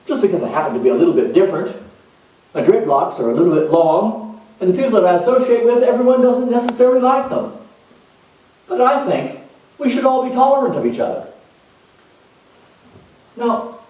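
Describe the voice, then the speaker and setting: A man's voice: high voice, darkish tone. A man playing an eccentric, scatterbrained, idealistic nonconformist in a rambling, emphatic theatrical monologue. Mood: excited, emphatic, then flustered, dithering. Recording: very echoey room, far from mic.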